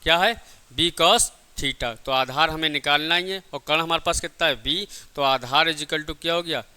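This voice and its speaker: A man's voice: on the high side, moderate pace, pushed and bright, clean tone. A man teaching a lesson step by step, didactic, often asking questions and answering them himself. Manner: didactic, repeatedly asks and answers own questions. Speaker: physics teacher. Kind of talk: classroom lesson, step-by-step explanation.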